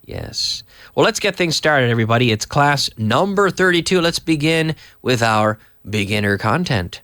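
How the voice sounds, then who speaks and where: Hoarse man teaching English, lively pitch. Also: slight background noise. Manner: chatty, reads viewer messages aloud and explains slowly. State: hoarse, coming down with a cold. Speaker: English teacher and live-stream host. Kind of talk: live online English lesson.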